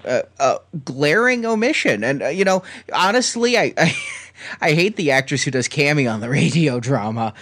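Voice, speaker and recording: A man with a fairly high voice hosts a casual discussion. He is conversational and leans firmly on key words. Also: big pitch swings, moderate pace, fairly bright tone. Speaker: host.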